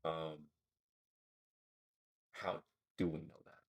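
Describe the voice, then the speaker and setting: A man with a very low voice that barely rises or falls. He co-hosts a relaxed podcast conversation and rambles as he works his ideas out aloud. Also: drawn-out words, slightly rough. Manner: thinking aloud, rambling and searching for words. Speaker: podcast co-host. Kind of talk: casual philosophical chat.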